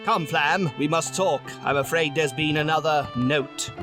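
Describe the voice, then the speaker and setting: A man's voice: fairly high pitch, a little slow, over music, close to an everyday voice. A man playing a pompous, indignant pony opera manager in an audio drama, formal and haughty in his theatrical delivery. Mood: uneasy.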